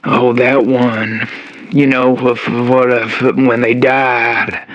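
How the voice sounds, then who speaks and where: A man being interviewed, giving halting, fragmented answers. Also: medium pitch, level tone, a bit bright, no echo, lo-fi sound.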